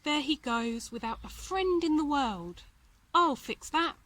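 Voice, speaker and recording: A woman voicing a plucky, excitable little male circus mouse in a storybook, eager and encouraging. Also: high-pitched, a little slow, voice pushed up. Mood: eager, reassuring. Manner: eager, exclamatory, encouraging pep talk.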